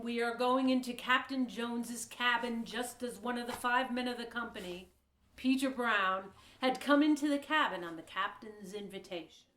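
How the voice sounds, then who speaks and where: Woman narrator for a period audio play, fairly high voice, scripted delivery, roomy recording. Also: fairly bright tone. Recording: echoey room.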